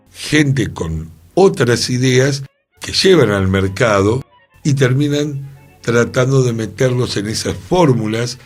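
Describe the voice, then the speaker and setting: A man, co-hosting a radio talk show, speaking in a measured, reflective way. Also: mid-range voice, darkish tone. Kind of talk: casual radio discussion. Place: radio studio.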